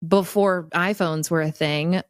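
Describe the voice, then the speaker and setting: A woman's voice: lowish voice, steady tone, a little slow, clean tone, no room sound, clean studio sound. A woman podcaster in casual comedic chat, delivering stories and one-liners with a wry touch. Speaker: guest podcaster.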